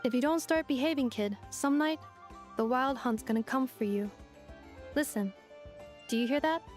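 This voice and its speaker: An actress plays a courteous female android guide from a fantasy game. The voice is fairly high, with composed, precise and formal phrasing. Music runs behind it. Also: moderate pace, natural voice. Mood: eerie storytelling. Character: polite, knowledgeable female robot guide. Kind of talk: video game exposition acting.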